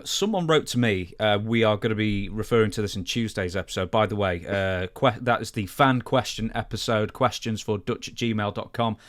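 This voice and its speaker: A man's voice: lowish voice, moderate pace, few pauses, a bit bright. A man co-hosting a podcast, chatting casually and reading out plugs for the show. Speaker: podcast co-host.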